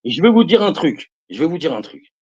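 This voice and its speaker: A man's voice: fairly high pitch, sing-song, fairly quick, a little mellow, lo-fi sound. A man in his fifties giving religious advice on a live stream, emphatic and repetitive, speaking to his listeners as brothers and sisters. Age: 50s. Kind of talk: preaching to viewers on a live stream.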